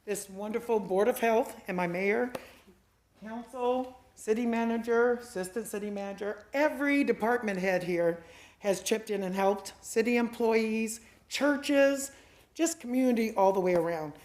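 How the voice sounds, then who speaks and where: A woman's voice: on the high side, normal speed, a little mellow, reverberant. A woman with a Midwestern American accent, heading a health department, giving a heartfelt speech of thanks at a city council meeting. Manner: heartfelt, listing people to thank.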